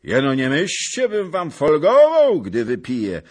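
A man voicing a blustering, furious nobleman soldier in a storybook, bellowing and scolding with drawn-out insults. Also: a little high, huge pitch swings, husky, pitched above the performer's own voice, heavily acted. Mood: stern, gruff. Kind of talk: dramatised audiobook of historical novel.

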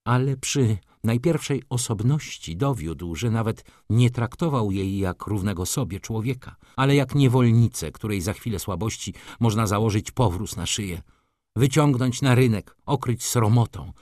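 A man voicing an imperious, flirtatious society lady from a novel, commanding and theatrical, quick to scornful indignation. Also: lowish voice, animated, darkish tone, no echo, clean studio sound, voice pushed up, close to an everyday voice. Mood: indignant, scornful.

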